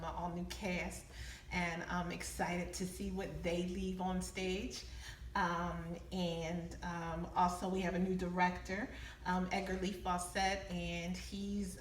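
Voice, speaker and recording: Woman interviewee, slightly low pitch, candid and effusive, casual delivery, echoey room recording. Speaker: playwright and producer being interviewed. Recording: echoey, distant mic, faint room noise.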